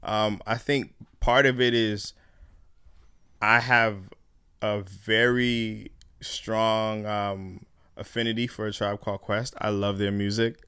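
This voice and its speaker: A man's voice: on the low side, flat delivery, takes it slowly, bright, forceful tone, smooth, no echo, studio quality. A young man born in Ghana and raised in Canada, a guest in a casual interview, talking in a laid-back, slangy way and swearing freely.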